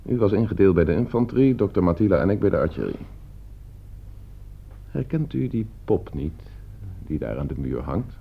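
A low-voiced schoolteacher who is controlled, courteous and quietly manipulative. He speaks as a radio drama villain would, on a muffled old recording. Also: sing-song, moderate pace, creaky, husky, exaggerated voice. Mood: calm, menacing. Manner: controlled, polite, insinuating. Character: calm, calculating male schoolteacher, polite but menacing manipulator. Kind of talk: Dutch radio play acting.

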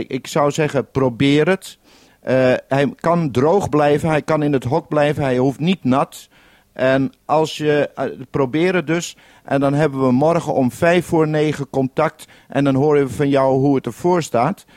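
A man's voice: a little high, steady tone, measured pace, darkish tone, no echo. Man instructing over radio, dry sound. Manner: instructive, ends turns with radio procedure word. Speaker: radio programme maker on the mainland. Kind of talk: two-way radio conversation giving step-by-step instructions. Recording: 1971 radio broadcast recording.

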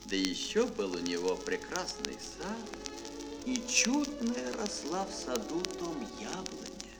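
High-pitched man, very animated fairy-tale narration, slow and drawn-out, dramatic, music behind an old mono recording. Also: vocal fry, rough recording. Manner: dramatic, drawn-out, expressive delivery. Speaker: storyteller.

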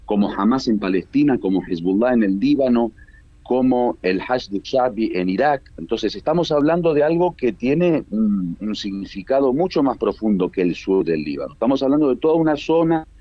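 A man's voice: medium pitch, dark tone, no room sound, dull audio. A man from Argentina with an Argentine Spanish accent, giving emphatic, repetitive political commentary as an analyst guest over a remote radio link. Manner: emphatic, builds points by repetition.

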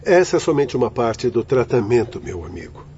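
A calm spirit instructor explains things in a Brazilian radio drama. His voice is dark and mellow, composed and didactic, in a dull, slightly noisy recording. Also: medium pitch, fairly quick. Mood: calm, instructive. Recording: dull audio with background noise.

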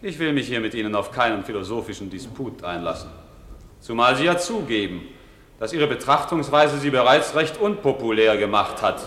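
A man's voice: mid-range voice, lively intonation, normal speed, a bit bright, close to an everyday voice. A man acting an eloquent, insistent defence lawyer in a 1950s courtroom radio drama, with formal, pressing rhetorical questions. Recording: echoey hall, faint hiss, old radio recording.

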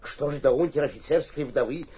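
An actor playing a wily, fawning, middle-aged provincial town governor, corrupt and fearful, with a husky voice in a theatrical radio play. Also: male voice, on the high side, a little fast, dark tone. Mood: panicked pleading. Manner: fawning, swinging from pleading to sly muttering. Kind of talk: theatrical radio play acting with muttered asides.

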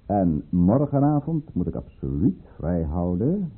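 Casting: scheming tsarist governor and lover, suave and menacing, highly animated pitch, husky muffled sound of an old tinny radio play. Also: male voice, full character voice. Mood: suave, mocking. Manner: suave, formal, coldly commanding, deliberate. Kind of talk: Dutch radio drama acting.

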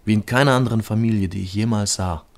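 A man's voice: on the low side, fast talker, lightly acted. A man playing a brooding lover who recalls childhood and family in short poetic phrases, in a literary radio drama. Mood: reflective. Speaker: radio play actor.

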